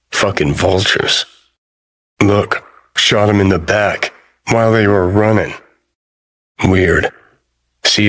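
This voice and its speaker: A jaded rebel from a sci-fi video game, speaking in a low register. He takes his time with a world-weary, sardonic drawl, bitter and foul-mouthed. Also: a bit bright, exaggerated voice. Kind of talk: video game character dialogue.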